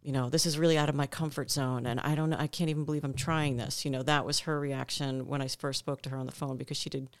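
A woman's voice: very deep voice, fairly even intonation, quick pace, hardly any pauses, no echo, clean studio sound. A woman on the gym staff chatting casually on a podcast, telling a story at length.

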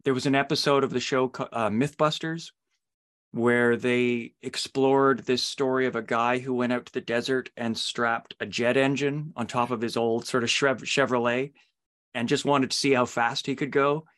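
Man hosting a conversational interview, mid-pitched. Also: steady tone, normal speed, a bit bright. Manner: discursive, builds questions through anecdotes. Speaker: podcast host, interviewer.

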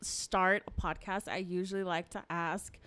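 Young woman podcast host, smooth raspy voice. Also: fairly even intonation, moderate pace, fairly bright tone. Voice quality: raspy, low voice. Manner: laughs a lot, says y'all and um. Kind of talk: casual chat. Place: studio set.

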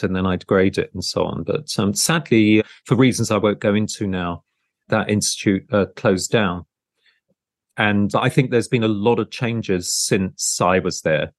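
A man with a fairly low voice hosts an interview podcast in a conversational, discursive way. He rambles a little and rephrases as he goes, like a lecturer chatting with a guest. The sound is close and dry, with little room in it. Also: dry recording. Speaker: podcast host and art business lecturer.

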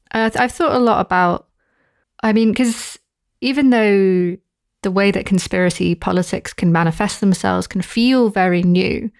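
A woman talks on a podcast, her mid-pitched voice measured and reflective. She often stops and restarts as she thinks. The sound is dry and close, with no room around it. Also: vocal fry, no room sound. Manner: thoughtful, halting with restarts and fillers. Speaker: podcast guest researcher. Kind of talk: casual podcast discussion.

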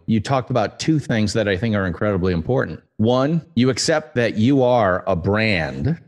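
Lively low-voiced podcast host. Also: male voice. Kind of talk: podcast interview and ad read.

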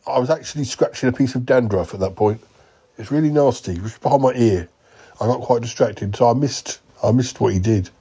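A man voicing a clueless male yeti uncle who talks slowly and hesitantly. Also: mellow, husky. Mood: bemused. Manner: slow, hesitant, wandering speech with a higher voice.

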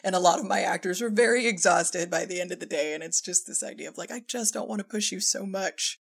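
A woman's voice: lowish voice, fast, creaky, slightly rough. A woman speaking informally as a director telling work anecdotes in an interview, often quoting herself and chuckling. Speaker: podcast guest, audio drama director and filmmaker.

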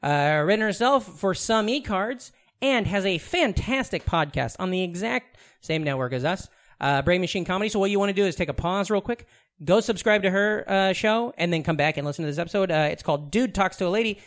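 A man co-hosts a comedy podcast, bantering and teasing in a loose way. His pitch moves around a lot as he jokes. He also introduces and interviews the guest. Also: expressive, fairly quick, a bit bright. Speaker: podcast co-host.

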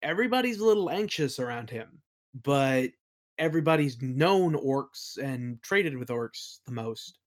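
A man's voice: medium pitch, animated, unhurried. A man answering as an interview guest in a casual conversational style, often hesitant as he thinks aloud. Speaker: interview guest, audio play creator.

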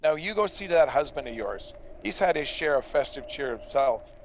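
An actor playing a confident farmer, a dutiful son and brother, in a radio drama. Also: male voice, a little high, animated, normal speed, dull audio, a little hiss or hum. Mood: reassuring. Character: confident farmer son, reassuring, dismissive of worries.

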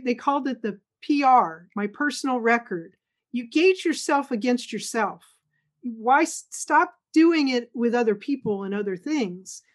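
Middle-aged woman guest, slightly high voice, emphatic and earnest. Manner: earnest, emphatic, acts out quotes. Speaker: guest speaker. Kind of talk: conversational interview.

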